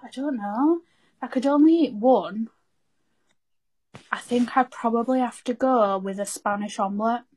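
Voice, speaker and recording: A woman's voice: a little high, moderate pace, pauses often, a little mellow. A young woman co-hosting a podcast, chatting casually and earnestly with everyday northern English slang. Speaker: podcast co-host. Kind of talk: casual chat.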